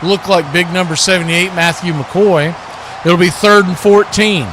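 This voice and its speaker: High-pitched man calling football, noisy background. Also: a little slow. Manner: clipped running description, rising to excited calls on action. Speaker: play-by-play sports commentator, watch party host. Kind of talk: live American football play-by-play commentary.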